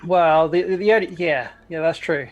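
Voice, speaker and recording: A man co-hosting a podcast in casual chat, with a halting, dry way of talking. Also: a little high, dull audio. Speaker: podcast co-host.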